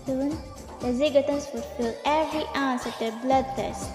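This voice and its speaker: High-voiced woman narrator in deep reverb. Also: fairly even intonation, normal speed. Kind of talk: scripted storybook narration of a fantasy legend. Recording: echoey long reverb, music behind. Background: music.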